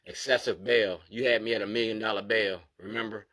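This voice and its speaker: A man with a fairly low voice talks straight to the viewer in a plain monologue. He is insistent and keeps checking in with "you feel me?" as he presses each point. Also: fairly even intonation, fairly quick, a bit bright. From Baltimore, USA. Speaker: activist. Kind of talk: direct-to-camera monologue going through documents.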